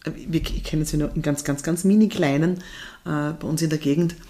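A woman interviewing a guest casually, attentive and quick to affirm. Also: low-pitched, fairly even intonation, mellow. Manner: attentive, many affirming murmurs.

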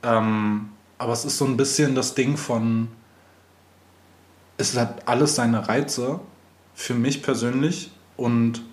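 A man's voice: medium pitch, steady tone, takes it slowly, reverberant. A man in his thirties from Berlin, a podcast guest chatting casually, thinking aloud with fillers and laughing easily. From Berlin, Germany. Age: 30s. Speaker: podcast guest, dance coach.

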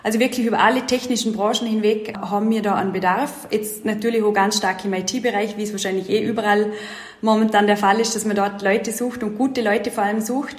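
A woman's voice: mid-range voice, fairly even intonation, a little fast. A young adult woman speaking as an event and project manager in an interview, explaining things eagerly and leaning on filler words. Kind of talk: casual interview talk. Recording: roomy echo.

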